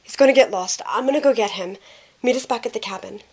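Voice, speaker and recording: A woman playing a kind, caring young woman in an audio drama, with a gentle, earnest way of talking. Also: on the high side, fast, breathy edge, rough recording. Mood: worried, urgent.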